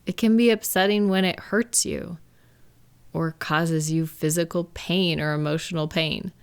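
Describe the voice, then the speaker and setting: A gentle live-in partner played by a woman speaks in a low-pitched voice. She is tender and reassuring, taking her time as if in a private one-sided conversation. It sounds like an intimate comfort roleplay audio. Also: clear, resonant tone. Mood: worried, caring.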